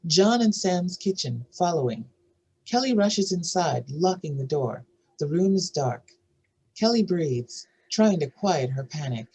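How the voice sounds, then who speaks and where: A woman with a husky voice narrating screenplay stage directions in a scripted reading. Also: low-pitched, warm tone, poor audio.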